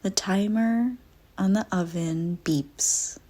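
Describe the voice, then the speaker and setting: Slow, husky, low-voiced woman guiding meditation. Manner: soft, breathy, soothing, deliberate delivery with frequent pauses. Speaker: guided meditation narrator. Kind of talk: guided visualization meditation, scripted first-person narration.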